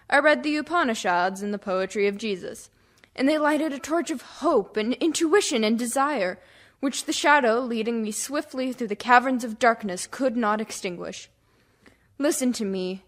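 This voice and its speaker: A woman's voice: mid-range voice, natural voice. Woman acting village atheist turned seeker, mid-range voice, earnest, declamatory, radio play monologue. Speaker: actor in a community theatre radio play.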